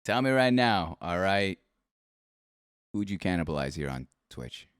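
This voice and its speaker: A man's voice: deep voice, sing-song, a little slow, clear, resonant tone. A man hosting a podcast, upbeat and joking, swearing freely and talking to his guest like a pal. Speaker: podcast host. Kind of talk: casual podcast interview.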